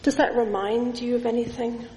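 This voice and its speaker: A middle-aged woman preaching a sermon in a church, measured, with slow emphatic lists. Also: a little high, fairly even intonation, warm tone, a little hiss or hum. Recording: echoey church hall.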